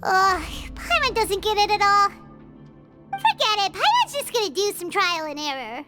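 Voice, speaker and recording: A woman voicing a small, childlike fairy companion in a video game, excitable, whiny and stubborn, with a squeaky voice. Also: moderate pace, loud music behind the voice. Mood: puzzled, grumbling. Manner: speaks of self in third person, exclaiming.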